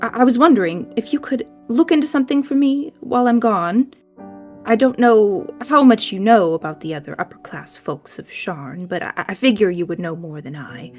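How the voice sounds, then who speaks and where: A woman voicing a timid, polite young tiefling man in a tabletop game, halting and eager as the words come out. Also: mid-range voice, darkish tone, soft background music, natural voice. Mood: earnest, hesitant.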